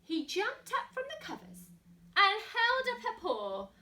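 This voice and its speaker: A woman's voice: very high-pitched, huge pitch swings, unhurried, sharp tone, distant mic. A woman reading a picture book to young children theatrically, with asides and questions to her listeners. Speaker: storybook reader. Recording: echoey room.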